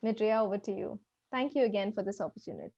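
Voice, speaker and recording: A woman giving a prepared, earnest and formal presentation as a program director, with hesitant pauses. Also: medium pitch, animated, mellow, a little hiss or hum. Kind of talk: prepared presentation at an online festival. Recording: dull, muffled lo-fi audio.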